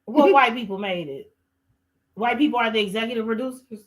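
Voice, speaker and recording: Calm, persistent woman on a podcast. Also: fairly high pitch, fast talker. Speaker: podcast co-host. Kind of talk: casual debate.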